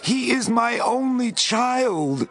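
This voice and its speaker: A man's voice: very high voice, expressive, slow, a bit bright, heavily acted. An actor playing an anguished father begging for help for his son in a Bible audio drama. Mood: desperate, pleading. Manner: pleading, anguished.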